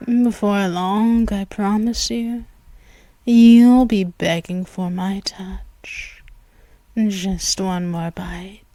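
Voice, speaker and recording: A commanding female vampire, as a woman plays her for an ASMR roleplay, drawing out every word at a very slow, deliberate pace. Her voice stays soft and hushed, slipping into whispers. The recording is dry and intimate, right up against the microphone. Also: medium pitch, fairly even intonation, dry recording. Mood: seductive. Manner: commanding and purring. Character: dominant predatory female vampire, commanding and possessive. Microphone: close mic, intimate.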